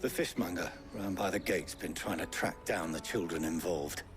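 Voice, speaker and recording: Male actor as fantasy furniture maker, deep, wry, matter-of-fact, music behind. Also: moderate pace, lightly acted. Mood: wry, knowing. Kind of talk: dramatic video game acting.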